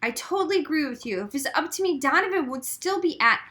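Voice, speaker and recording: An earnest and brainy schoolgirl, acted out by a woman reader, flustered and pleading. The voice sits high and bright, in storybook style. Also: animated, quick pace. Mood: eager.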